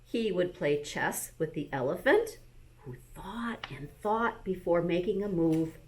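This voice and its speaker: A woman, a school librarian, reading a bedtime story aloud to young children in an expressive, animated manner. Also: fairly low pitch, measured pace.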